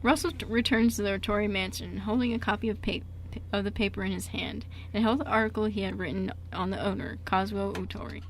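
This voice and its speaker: A woman's voice: mid-range voice, fairly even intonation, quick pace, poor audio. A woman narrating an amateur radio play from a script, stumbling now and then as she reads aloud. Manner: stumbles while reading aloud. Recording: background room noise.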